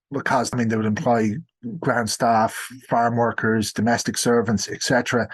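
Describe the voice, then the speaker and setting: Male, Irish accent, mid-pitched voice. Guest historian giving explanatory interview answers, sometimes framed as his own questions. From Ireland.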